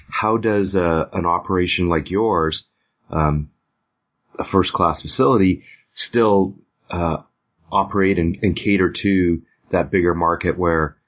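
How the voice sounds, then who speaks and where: A man's voice: deep voice, fairly bright tone. A man conducting an interview in a conversational style, with hesitant, roundabout phrasing. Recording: dull, lo-fi audio.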